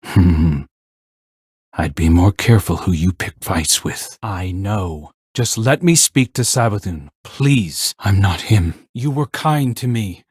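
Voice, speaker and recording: A man voice actor playing a brooding, wounded young hunter in a video game, with a husky, breathy voice. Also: low voice, lively intonation, takes it slowly, a little mellow, no echo, clean studio sound, exaggerated voice. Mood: wary, pleading.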